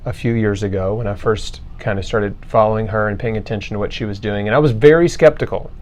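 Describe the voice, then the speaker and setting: A man's voice: lowish voice, fast talker, a little mellow, a little hiss or hum. A man hosting an interview in a casual, colloquial, conversational way. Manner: informal, colloquial phrasing. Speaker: interviewer, host.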